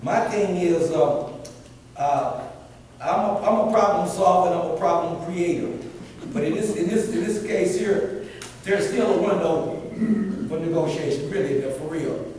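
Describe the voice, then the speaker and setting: A man with a big voice makes a rambling, heartfelt public comment at a town board meeting. His voice sits somewhat high, and he is heard from well away from the mic. A long, echoing hall reverb surrounds him, with faint room hiss. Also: measured pace, darkish tone. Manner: earnest, rambling, preacher-like appeals. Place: large echoey meeting hall. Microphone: room mic from a distance. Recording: echoey hall from far off.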